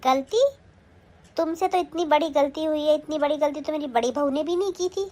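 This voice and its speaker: A woman's voice: high-pitched, moderate pace, natural voice. A woman acting a stern older mother-in-law who scolds and commands in a family skit. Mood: angry.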